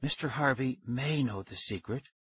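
A man voicing a soft-spoken older male scientist in a hushed, low murmur. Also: moderate pace, breathy edge, dry recording, dull audio. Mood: grave.

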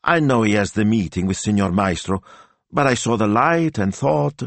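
An anxious, eager young woman with a high, lifted voice and a lively lilt, as a male storyteller puts her on. It is close and dry. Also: moderate pace, vocal fry, no room sound. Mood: despondent.

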